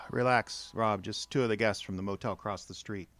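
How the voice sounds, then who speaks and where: Man as low-voiced, folksy small-town bartender. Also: steady tone, moderate pace, a bit bright, faint music behind, lightly acted. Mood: resigned. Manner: folksy, hesitant, stammering. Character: worried, kindly small-town male bartender. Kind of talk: horror audio drama acting.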